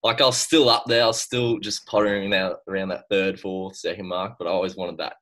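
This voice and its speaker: Young Aussie man, deep low voice, relaxed and rambling, laptop mic from home. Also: fairly quick. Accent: Australian. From Australia. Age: young adult. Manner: laid-back, laughs often, trails off with fillers. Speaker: guest swimmer. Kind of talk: casual chat. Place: bedroom at home. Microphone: laptop webcam on video call.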